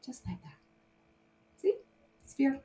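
A woman's voice: takes it slowly, mellow. A woman teacher explaining things informally to young children, often checking in with short tag questions. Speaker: primary math teacher.

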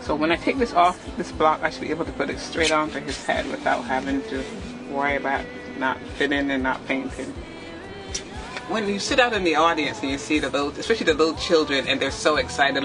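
Lively woman explaining her craft. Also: sits low, fairly quick, over music, rough recording. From Barbados. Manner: lively, explains step by step, laughs often. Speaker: theatre makeup artist. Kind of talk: demonstrating work while talking to the camera. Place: small backstage dressing room, indoors.

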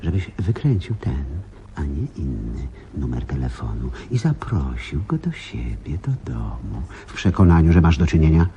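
An actor in a radio play voicing a menacing mutant posing as a police deputy, taunting and deliberate. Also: male voice, a bass voice, big pitch swings, warm tone, slight background noise, full character voice. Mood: probing, sinister. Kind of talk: Polish radio play acting.

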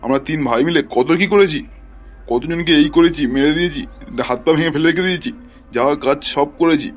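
A man as a fast-talking, nervy cartoon thug. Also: on the high side, faint music behind, lightly acted. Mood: boastful. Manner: colloquial, excitable, stammers when frightened. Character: nervy small-time hired thug, one of three brothers.

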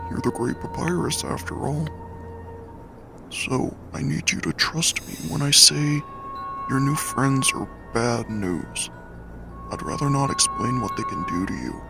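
A man voicing a laid-back, protective eighteen-year-old skeleton big brother in a comic dub, with a gravelly voice and casual drawl. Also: medium pitch, huge pitch swings, unhurried, music in the background, full character voice. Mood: tender, apologetic. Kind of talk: dramatic comic dub acting.

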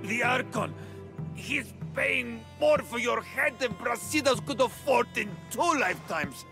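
An actor playing a sly, smirking, cowardly informant in a video game, with a taunting, theatrical manner. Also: male voice, very high-pitched, expressive, unhurried, gravelly, over music, exaggerated voice. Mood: menacing, defiant.

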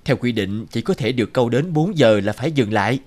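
Mid-pitched patient cartoon father, played by a man. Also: expressive, brisk, a little mellow, faint music behind, lightly acted. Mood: firm. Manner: instructive, encouraging. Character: patient, principled, encouraging adult father. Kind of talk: children's cartoon voice acting.

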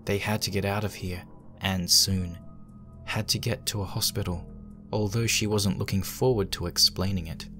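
A man with a low voice narrates a horror story. He reads it as scripted dramatic fiction, with music playing underneath. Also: steady tone, moderate pace, fairly bright tone.

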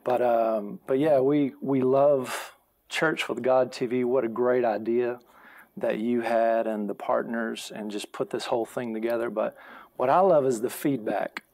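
A man in his thirties with a gravelly, creaky voice of middling pitch. He talks earnestly like a pastor on a studio talk show, close on a lapel mic. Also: fairly even intonation, unhurried, a little mellow. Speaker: pastor, programme guest. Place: TV studio, seated on a sofa.

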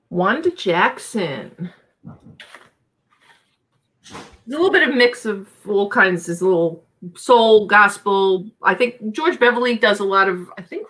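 A woman's voice: mid-range voice, fairly bright tone. A middle-aged woman with a New York accent, hosting a live auction and chatting casually as she reads out album titles. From Long Island, New York. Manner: chatty, thinking aloud while reading album titles. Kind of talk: casual live-stream selling records. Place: small home room full of shelves.

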